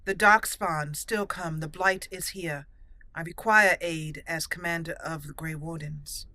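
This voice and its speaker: A woman voicing an earnest elf mage commander in improvised game roleplay, with a formal, deliberate, halting manner. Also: deep voice, fairly even intonation, bright, forceful tone. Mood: solemn, urgent.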